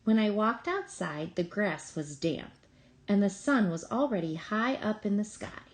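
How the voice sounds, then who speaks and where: A woman reading a children's story aloud in an expressive, deliberate way with pauses between phrases. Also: medium pitch. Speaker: storybook reader.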